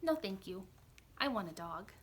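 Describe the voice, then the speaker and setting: A woman's voice: mid-range voice, very animated, moderate pace, close to an everyday voice. A woman reading a picture book aloud, voicing a stubborn child who insists on getting a dog. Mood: firm.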